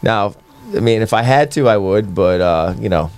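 A man with a lowish voice talks as a podcast guest. He is calm and thoughtful and pauses on fillers as he works through his answers. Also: clear, resonant tone. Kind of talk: casual chat.

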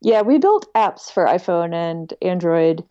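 A woman's voice: on the low side, expressive, unhurried, warm tone, no room sound. A woman speaking casually as a guest in a podcast interview, on a slightly lo-fi recording. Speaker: podcast guest, online music archive director.

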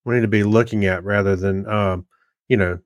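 A man's voice: lowish voice, fairly even intonation, a little fast, dry recording, clean studio sound. A man co-hosting a podcast, chatting casually in a plain-spoken, conversational way. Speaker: podcast co-host. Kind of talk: casual chat.

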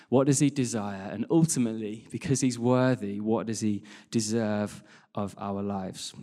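A British man giving an informal sermon in a chatty, jokey way, speaking as a church worship leader and engaging his listeners with questions. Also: mid-range voice. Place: large church hall in front of a congregation. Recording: reverberant hall, live church talk.

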